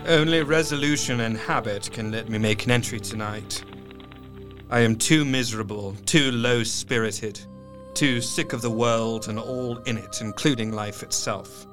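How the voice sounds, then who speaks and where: Audio drama narrator: dutiful, downcast male doctor, played by an actor, average pitch, formal measured diary reading, clear musical bed. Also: music in the background, lightly acted. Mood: weary, sorrowful.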